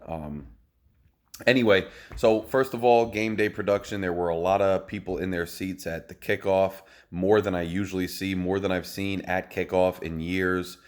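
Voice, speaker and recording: Hoarse, deep-voiced man recapping a game. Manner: rambling, enthusiastic, many fillers. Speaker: host. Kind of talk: casual postgame recap. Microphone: phone video.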